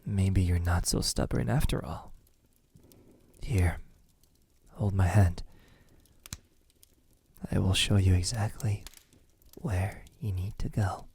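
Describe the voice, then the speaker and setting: A man playing a possessive, teasing vampire in an intimate ASMR roleplay, speaking softly and breathily with frequent drops into a whisper. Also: deep voice, fairly even intonation, heavily acted. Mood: coaxing, tender. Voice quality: breathy, often dropping to a whisper. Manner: slow, menacingly tender, teasing. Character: possessive, teasing, lonely male vampire in his castle.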